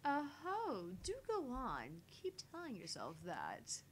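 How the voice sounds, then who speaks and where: A woman voicing a sharp-tongued, sardonic heroine in a dry, taunting and theatrical read-aloud of a visual novel. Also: fairly high pitch, wildly swooping pitch, takes it slowly, slight background noise, pitched above the performer's own voice, full character voice. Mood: mocking. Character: sharp-tongued sardonic woman narrating her thoughts, teasing and haughty.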